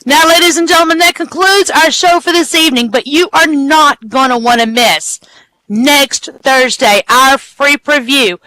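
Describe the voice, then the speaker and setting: A lively wrestling commentator who calls the action and counts the pins aloud. The voice is high and bright, recorded dry and close. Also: moderate pace, dry recording, low-quality recording. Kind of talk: play-by-play commentary.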